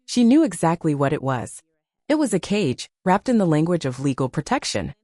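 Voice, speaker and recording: A woman's voice: low voice, very animated, moderate pace, warm tone, dry recording, clean studio sound. A woman reading a dramatic scripted story as an audiobook narrator.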